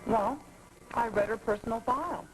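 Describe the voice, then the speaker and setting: A woman's voice: fairly high pitch, moderate pace, faint music behind, lightly acted, low-quality recording. An actress playing a poised, flirtatious professional woman in a 1980s daytime soap, talking in a teasing, self-assured way. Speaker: soap opera character.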